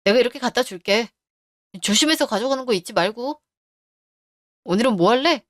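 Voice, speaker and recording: A woman's voice: a little high, animated, a little fast, a bit bright, no room sound, studio quality. A woman voicing a scheming middle-aged husband who brushes off his wife's worries, in a radio drama. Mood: smug.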